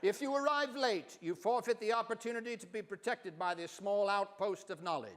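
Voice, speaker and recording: A man's voice: high-pitched, fairly quick, fairly bright tone, pitched above the performer's own voice. A man voicing a stern, theatrical professor of about sixty in a grand, declamatory manner during a stage reading. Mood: stern, lofty. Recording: reverberant hall sound.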